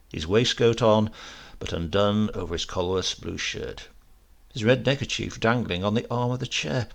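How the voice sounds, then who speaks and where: A man with a British accent reading a comic literary story as narrator, in a measured, dramatic way. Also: medium pitch, gravelly, fairly bright tone. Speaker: audiobook reader.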